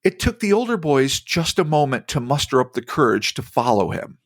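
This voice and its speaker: A man's voice: medium pitch, big pitch swings, normal speed, no room sound, studio quality. A man with a New Jersey accent, hosting a podcast, who reads out a story and breaks off into joking asides. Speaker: podcast host. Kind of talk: reading a story aloud with casual banter.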